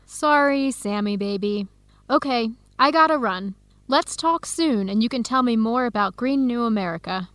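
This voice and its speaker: A woman's voice: on the high side, animated, moderate pace, fairly bright tone, dry recording. A woman acting a young, playfully teasing friend in a scripted radio drama. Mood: playful, affectionate.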